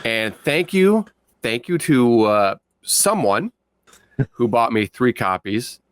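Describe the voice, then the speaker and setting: Man, podcast co-host, mid pitch, casual, playful, emphatic repetition, dry recording. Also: sing-song, takes it slowly, clean tone, dry recording. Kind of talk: casual sign-off plugs.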